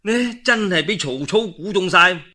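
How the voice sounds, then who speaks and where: Male traditional storyteller, Cantonese, slightly high and very forceful, dramatic and chatty in narrating old war tales. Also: unhurried. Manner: dramatic, animated delivery with conversational particles and asides. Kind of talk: traditional Chinese storytelling of a historical novel, colloquial narration.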